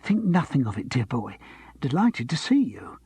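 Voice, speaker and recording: A man's voice: mid-range voice, sing-song, normal speed, a little mellow, breathy edge, dry recording, exaggerated voice. A man voicing an eager, fussy theatre pianist who presses to be remembered, in a storybook reading.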